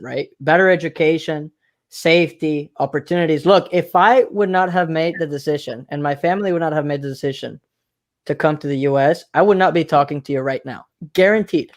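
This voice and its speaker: A man from Mexico hosting a podcast interview, talking casually and repeating himself for emphasis. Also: fairly high pitch. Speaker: podcast host.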